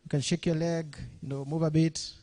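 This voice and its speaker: A man's voice: a little high, level tone, brisk, dark tone. A man with a Kenyan accent emceeing a launch event, chatty and engaging the audience. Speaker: master of ceremonies. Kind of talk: event hosting.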